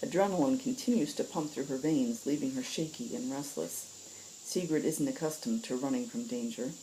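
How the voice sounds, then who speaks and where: A woman reads in an audiobook storytelling style. Her voice is exceptionally deep for a woman, dark and soft in tone. There is faint background noise behind her. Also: moderate pace, poor audio. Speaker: story reader and host.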